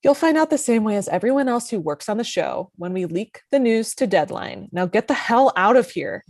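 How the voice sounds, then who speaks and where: A woman's voice: fairly high pitch, animated, moderate pace, darkish tone, no room sound. A woman reading the part of a sardonic, dismissive female TV network executive in a comedy table read. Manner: dry, dismissive, caustic.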